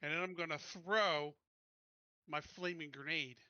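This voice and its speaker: A man's voice: on the high side, unhurried, bright, forceful tone, clear, resonant tone, dry recording, lo-fi sound. A man playing a tabletop game, chatting casually in a blunt, exasperated, grumbling way.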